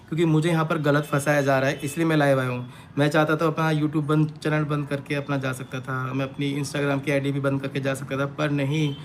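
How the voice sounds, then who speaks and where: A man speaking unscripted to his followers on a live stream, defensive and emphatic, repeating his points, with some background noise. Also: a little high. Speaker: online creator answering accusations. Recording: noisy live-stream audio with background ambience.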